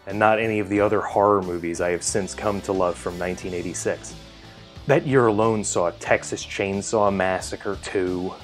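A middle-aged man from New Jersey with an American accent, reading a scripted first-person narration for a horror docuseries. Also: sits low. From New Jersey, United States. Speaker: author telling a personal essay. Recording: music bed behind the voice. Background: guitar music.

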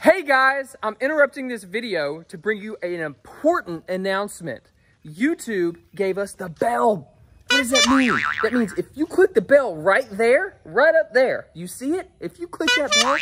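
An American man in his thirties making an upbeat announcement to kids, speaking straight to a handheld camera outdoors. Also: extremely high pitch. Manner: animated, addressing kids. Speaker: channel announcer. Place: outdoor driveway. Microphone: camera at arm's length. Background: prominent music.

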